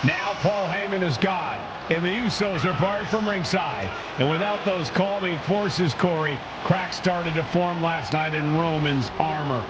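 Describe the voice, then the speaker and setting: A man's voice: fairly high pitch, unhurried, muffled recording, very noisy. A man delivering dramatic play-by-play style commentary for a wrestling broadcast. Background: loud music.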